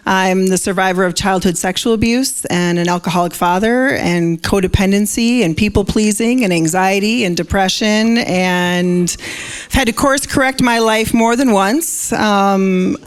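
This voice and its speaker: An American woman in her fifties talks as a guest counselor on a panel. Her delivery is chatty and candid, in an average-pitched female voice, as she addresses an audience informally. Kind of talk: informal self-introduction to an audience.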